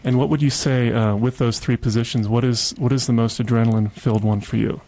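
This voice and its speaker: A man's voice: medium pitch, steady tone, quick pace, warm tone. A man hosting a casual radio interview in a chatty, encouraging manner. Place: radio studio.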